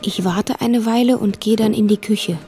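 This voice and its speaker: An actress playing a nervous, watchful girl, narrating a radio drama in measured present tense with a husky voice. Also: mid-range voice, fairly even intonation, mellow, soft background music, lightly acted. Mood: watchful, uneasy.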